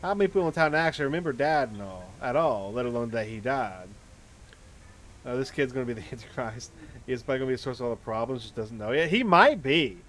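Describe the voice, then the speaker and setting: A man's voice: mid-range voice, expressive, quick pace, fairly bright tone. A man reading a visual novel aloud as a let's player, stumbling now and then and adding casual commentary. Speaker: video game let's player. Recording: clear background noise and ambience.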